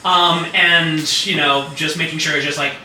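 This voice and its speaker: A man running a tabletop game as game master, animated and loose, with lots of fillers and swearing. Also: fairly high pitch, unhurried, a little hiss or hum. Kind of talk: casual tabletop game narration. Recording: echoey room, distant mic.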